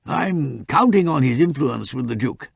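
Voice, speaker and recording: A man's voice: medium pitch, animated, creaky, breathy edge, dry recording, studio quality, pitched above the performer's own voice, full character voice. A man voicing an elderly, fussy male lawyer who hesitates and halts often. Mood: fretful. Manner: hesitant, halting with ums.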